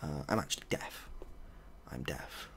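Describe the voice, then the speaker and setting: A man voicing a reluctant deaf woman from an improvised story, soft and deadpan. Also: rumbling low, measured pace, in a whisper, pitched below the performer's own voice, exaggerated voice. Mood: deadpan refusal. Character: Barbara, deaf, reluctant woman in a religious group.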